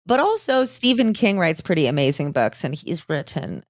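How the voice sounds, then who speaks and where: Woman, podcast co-host, very lively intonation, slightly low voice, sarcastic, irreverent storytelling, casual. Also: normal speed, no echo, clean studio sound. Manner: irreverent, sarcastic, swears freely.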